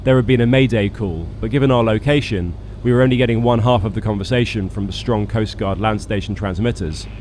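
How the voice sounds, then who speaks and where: A man's voice: lowish voice, fairly quick, hardly any pauses, a little mellow, a little hiss or hum. A man narrating a travel vlog from a script, with a wry, dry and understated sense of humour. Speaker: video narrator, boat skipper.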